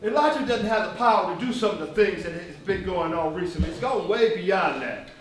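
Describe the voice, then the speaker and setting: A man's voice: high-pitched, lively intonation, a little fast. Man playing an earnest, weary activist leader, echoey stage. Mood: insistent, serious. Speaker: stage actor. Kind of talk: live one-act stage play acting. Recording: very echoey stage, distant mic, faint noise.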